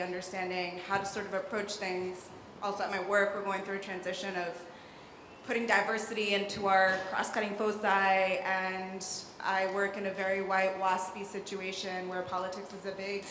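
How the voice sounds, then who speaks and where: A young adult woman in the audience, rambling and hedging as she makes a long comment. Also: medium pitch. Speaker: audience member. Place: large hall at a public event. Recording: echoey hall, faint room noise.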